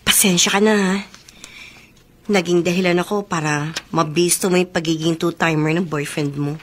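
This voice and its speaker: A woman's voice: lowish voice, steady tone, moderate pace, a little hiss or hum, close to an everyday voice. A woman acting in a Filipino radio romance drama as a lovelorn young woman, pleading and emotionally intense. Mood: concerned, apologetic. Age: young adult. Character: lovelorn young woman secretly in love with her best friend.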